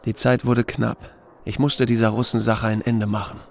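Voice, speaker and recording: A man's voice: medium pitch, level tone, normal speed, creaky, a little hiss or hum, close to an everyday voice. A man playing a reserved small-town sheriff in a German radio drama, narrating and speaking in terse, understated lines. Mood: reflective, matter-of-fact.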